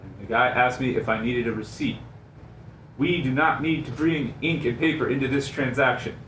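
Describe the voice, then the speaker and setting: A man's voice: mid-range voice, normal speed, a bit bright. A man on a radio show crew, talking casually in on-air banter. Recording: roomy, off-mic, faint background noise.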